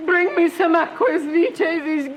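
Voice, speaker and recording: Woman playing a very high-pitched wailing old nurse. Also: expressive, a loud crowd. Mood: bitter, weary. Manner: slow, lamenting, repeats herself in exclamations. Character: wailing, fretful old female nurse, devoted servant. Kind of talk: dramatic radio play of Shakespeare tragedy.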